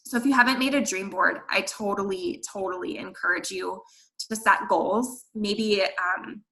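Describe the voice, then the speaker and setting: A woman's voice: mid-range voice, fairly even intonation, normal speed, bright, forceful tone, rough recording. A woman giving an earnest, candid motivational talk to her sales team, encouraging them and using casual fillers. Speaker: guest speaker at a team training.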